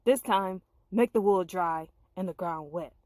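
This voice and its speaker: A woman's voice: on the low side, a little slow, a little mellow, no echo, studio quality, lightly acted. A woman voicing Gideon, an anxious, reluctant male warrior, in an earnest, pleading storybook manner. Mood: pleading, scared.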